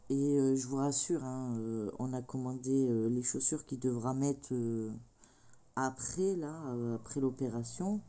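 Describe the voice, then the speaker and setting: A woman's voice: very deep voice, mellow. Rambling middle-aged woman with a cold. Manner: rambling, hesitant, many filler sounds. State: has a cold. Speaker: craft vlogger. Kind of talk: casual chat while crafting.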